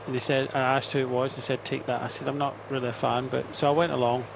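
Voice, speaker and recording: A man co-commentating a cycle race, relaxed and rambling, giving rider's-eye tactics. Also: medium pitch, fast, vocal fry, background noise. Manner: relaxed, self-deprecating, rambling. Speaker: cycling co-commentator. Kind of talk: live race commentary chat. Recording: commentary over loud race ambience.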